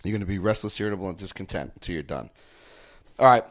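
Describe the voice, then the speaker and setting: A man's voice: low-pitched, lively intonation, fast, a bit bright. A man from New Jersey with a New Jersey accent, leading a recovery group through a book with jokes and stories and checking in with his listeners. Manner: joking, storytelling, checks in often with the group. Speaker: recovery workshop speaker.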